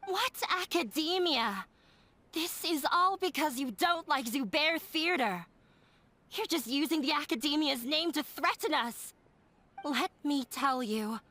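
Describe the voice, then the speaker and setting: A woman's voice: high-pitched, bright, forceful tone, full character voice. A woman playing a defiant, bitter young woman in a video game, confrontational and emphatic.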